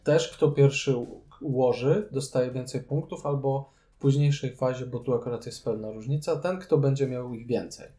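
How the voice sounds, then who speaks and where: A man discusses board games casually as a co-host. His mid-pitched voice is soft-edged and mellow. The room adds a clear echo. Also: normal speed, hardly any pauses. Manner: conversational, adding comments. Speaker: podcast co-host.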